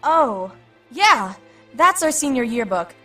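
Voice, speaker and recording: A woman's voice: high-pitched, big pitch swings, slow, bright, forceful tone, distant mic, soft background music, voice pushed up, exaggerated voice. A woman voicing a chatty young adult former classmate with a breezy, offhand manner.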